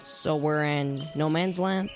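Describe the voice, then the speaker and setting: A woman's voice: sits low, fairly even intonation, takes it slowly, a little mellow, faint music behind. A young female actor playing a bossy, wary leader of adventuring kids in an audio drama. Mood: reluctant. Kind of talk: youth audio drama acting.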